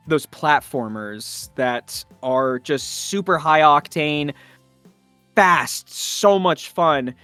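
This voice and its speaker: A man's voice: a little high, fairly bright tone, smooth, dry recording. A man talking enthusiastically in an interview as a voice actor, effusive and emphatic.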